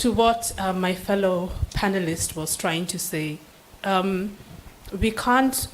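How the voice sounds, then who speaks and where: A woman's voice: medium pitch, a little hiss or hum, poor audio. Earnest woman panelist, many restarts. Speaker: seminar panelist. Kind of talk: unscripted panel answer. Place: seminar room.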